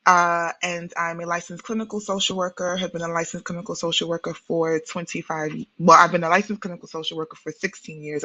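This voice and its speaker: A woman's voice: fairly low pitch, bright, forceful tone, no echo, dull audio. A woman in her forties from Guyana, raised in New York, with a New York accent, talking animatedly as a podcast guest, laughing often and restarting her sentences. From born in Guyana, raised in New York City. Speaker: podcast guest, therapist and spiritual practitioner. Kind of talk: casual podcast conversation.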